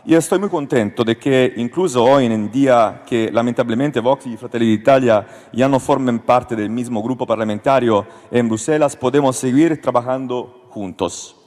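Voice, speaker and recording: A man's voice: medium pitch, normal speed, few pauses, a little mellow. An Italian man with an Italian accent, a non-native speaker reading a prepared speech at a forum carefully and with slight halts. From Italy. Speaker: member of European Parliament, panel speaker. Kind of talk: prepared speech read aloud. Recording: long reverb of a conference hall.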